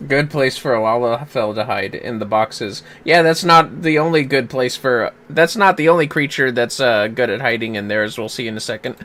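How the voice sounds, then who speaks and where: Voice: medium pitch, normal speed, few pauses, a bit bright. A game livestreamer chatting casually while playing, with wry, sweary asides.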